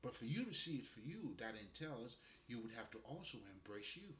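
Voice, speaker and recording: A man's voice: mid-range voice, very animated, a little fast, sharp tone, roomy sound, a little hiss or hum. A man with a Haitian accent talking loosely and profanely about spirituality, laughing often. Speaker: spiritual guide. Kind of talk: casual spiritual monologue.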